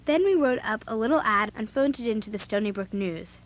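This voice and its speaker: A woman's voice: fairly high pitch, lively intonation, a little fast, lightly acted. A young female actor playing a spirited, outspoken girl babysitter in an audio drama, narrating and speaking with youthful emphasis. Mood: earnest. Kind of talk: first-person audio drama narration and dialogue.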